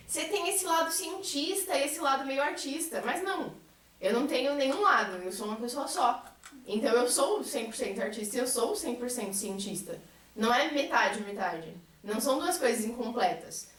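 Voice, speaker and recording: A woman with a Brazilian accent speaking earnestly and articulately in a reflective group conversation, as a member of a theatre troupe. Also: fairly high pitch. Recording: echoey room, distant mic.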